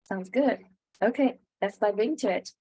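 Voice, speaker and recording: A woman's voice: mid-range voice, moderate pace, dark tone, slight background noise, rough recording. A young woman speaking as the host of an interview, with a prepared, scripted way of introducing and asking questions. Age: young adult.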